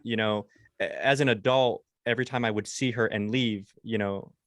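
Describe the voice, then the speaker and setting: A man's voice: lowish voice, steady tone, normal speed, dry recording, studio quality. A man in his twenties, a dog-trainer podcast co-host, chatting casually and rambling with lots of restarts and fillers. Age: 20s.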